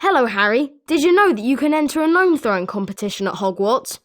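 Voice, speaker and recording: A woman's voice: high voice, sing-song, fast talker, a bit bright. A woman voicing a chatty, opinionated young student at a wizard school in scripted video game lines. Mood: helpful, eager.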